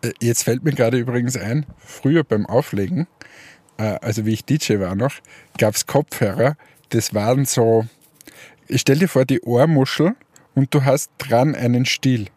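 A man co-hosting a casual podcast chat, talking in a relaxed, joking and speculative way. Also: medium pitch, normal speed, slightly rough, dry recording. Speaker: podcast co-host.

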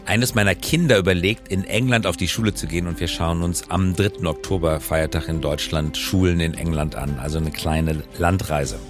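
A man's voice: sits low, steady tone, normal speed, few pauses, music in the background. A middle-aged man chatting casually as the co-host of a podcast. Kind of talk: casual conversational podcast talk.

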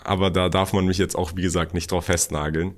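A man's voice: low voice, level tone, brisk. A man who grew up in Germany, talking casually as a podcast co-host.

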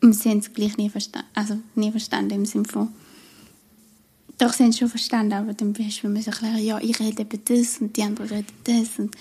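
Young Swiss woman, husky flat voice. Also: mid-range voice. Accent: Swiss German. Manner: hesitant, halting, with fillers. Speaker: podcast co-host. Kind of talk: casual literary podcast chat.

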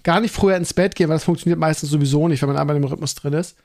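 A man's voice: on the high side, steady tone, rapid-fire, creaky, a little mellow. A man, hosting a casual solo podcast in a rambling, colloquial way and often interrupting himself. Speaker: podcast host; school teacher.

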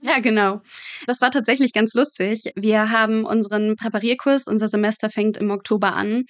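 A woman's voice: mid-range voice, fairly even intonation, brisk, no echo. A young woman speaking as an interview guest, chatting and explaining things in a casual storytelling way. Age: young adult. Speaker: interview guest, medical student.

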